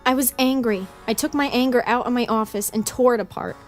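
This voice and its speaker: Woman acting an anguished, high-voiced confessing killer. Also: brisk, darkish tone, faint music behind, lightly acted. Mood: bitter, confessing. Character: anguished woman turned killer, remorseful, pleading, tender. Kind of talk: dramatic motion comic acting.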